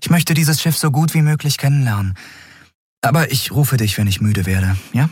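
A man voicing an impulsive, stubborn young woman who captains an airship, earnest and quick to argue. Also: mid-range voice, breathy edge, dry recording, clean studio sound, voice pushed up, close to an everyday voice. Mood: pleading.

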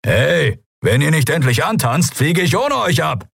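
A man voicing a gruff, impatient lion-faced pilot who growls curt orders. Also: fairly high pitch, big pitch swings, a little slow, a bit bright, dry recording, studio quality, voice pushed up, heavily acted.

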